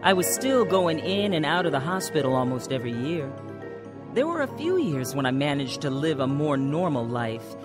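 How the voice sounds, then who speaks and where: A woman's voice: low-pitched, very animated, moderate pace. An American actress playing an earnest, troubled woman who recounts her life confessionally in a radio drama. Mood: reflective. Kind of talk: radio drama narration and dialogue. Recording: radio drama with music underneath.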